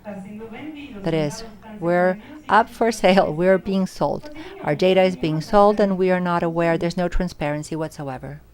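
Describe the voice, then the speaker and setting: Low-voiced woman interpreting live in halting phrases. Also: darkish tone. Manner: halting, phrase-by-phrase delivery with self-corrections. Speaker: simultaneous interpreter. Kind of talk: live interpretation of audience questions at an academic symposium.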